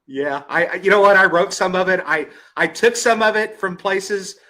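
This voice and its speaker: A man's voice: high voice, moderate pace, pushed and bright. A man hosting a podcast interview, reading an introduction and then chatting casually, often repeating words as he starts a thought. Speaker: podcast host.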